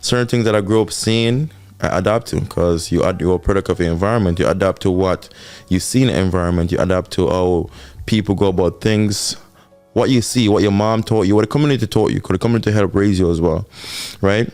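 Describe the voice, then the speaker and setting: A Jamaican man with a fairly low voice talks through relationship lessons in a casual podcast chat. He sounds earnest and reflective, circling back over his points, while faint music plays underneath. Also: brisk, a little mellow. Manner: earnest, reflective, repeats himself for emphasis. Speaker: podcast co-host. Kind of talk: casual couple podcast talk.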